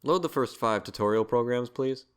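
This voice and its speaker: A man's voice: mid-range voice, normal speed, clean tone, lightly acted. An actor playing a careful, nervous male scientist in an audio story. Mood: businesslike. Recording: music under the voice.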